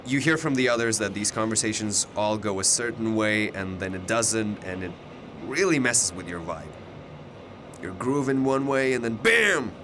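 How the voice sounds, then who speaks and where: A man's voice: fairly low pitch, animated, normal speed, noisy background. A man playing a cocky, chatty young double who knows it all, with a glib, teasing way of talking in an audio drama. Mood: chatty, wry. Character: cocky, chatty, all-knowing alternate-universe male double of a student.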